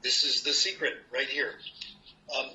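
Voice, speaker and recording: A man's voice: medium pitch, normal speed, a little hiss or hum. An older man with an American accent, a linguistics professor explaining his ideas in a playful, teaching way to an interviewer. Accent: American English. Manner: playful, lively teaching tone with asides. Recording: dull lo-fi recording.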